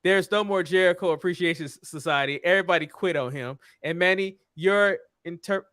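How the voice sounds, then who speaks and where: Man, podcast co-host, high voice, smooth bright tone, teasing, dry close sound. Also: brisk, no echo. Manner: teasing, good-natured ribbing. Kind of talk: casual podcast banter.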